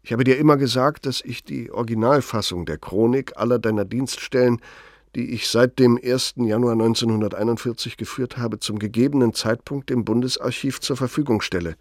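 An actor in a radio drama playing an embittered, proud older German man, a betrayed confidant who speaks with deliberate, sardonic reproach in a gravelly, husky voice. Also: medium pitch, lightly acted. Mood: cold, resolute.

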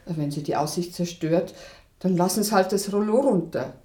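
A woman's voice: lowish voice, moderate pace, dark tone, lightly acted. Woman acting a polite but tart town secretary, businesslike, radio drama, echoey room. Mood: brisk, tart. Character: brisk, slightly tart female small-town secretary.

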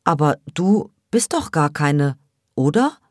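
A woman's voice: low voice, big pitch swings, takes it slowly, dry recording, studio quality, exaggerated voice. Woman doing a timid, apologetic teenage girl. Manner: hesitant, apologetic.

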